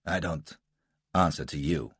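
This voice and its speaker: A man's voice: extremely low pitch, unhurried, a bit bright, slightly rough, no echo, voice pushed down, exaggerated voice. A man voicing a shifty, brazen American rogue who answers in a curt, cool, defiant way, with an American accent.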